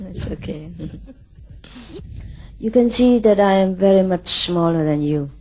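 A woman from Vietnam giving a spiritual talk in short, simple English phrases, pausing so an interpreter can translate. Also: fairly low pitch, lively intonation. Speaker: spiritual teacher.

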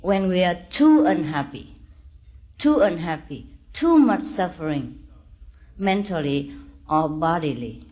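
Slow-speaking woman giving a spiritual discourse. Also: lowish voice, very animated, lo-fi sound. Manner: deliberate, halting phrasing with gentle reassurance. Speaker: spiritual teacher.

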